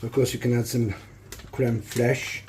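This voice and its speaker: A man with a slightly hoarse, croaky voice, talking casually through each cooking step in a home kitchen. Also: medium pitch, measured pace, slight background noise. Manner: relaxed, chatty step-by-step talk. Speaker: home cook. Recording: frying sizzle behind voice.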